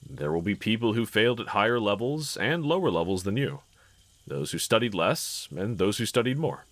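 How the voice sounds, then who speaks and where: A man playing a gentle, encouraging husband in an intimate scripted roleplay audio, speaking in a considerate, reassuring manner. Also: on the low side, big pitch swings, moderate pace, no room sound. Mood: encouraging, supportive. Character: gentle, encouraging adult husband, calm and caring. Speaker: character audio voice actor.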